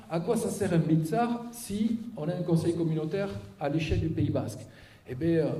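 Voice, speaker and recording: A man's voice: a little high, warm tone. A man giving an explanatory public presentation as a local official, step by step, with check-in tags and rhetorical questions. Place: auditorium, public meeting. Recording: echoey auditorium, picked up from a distance.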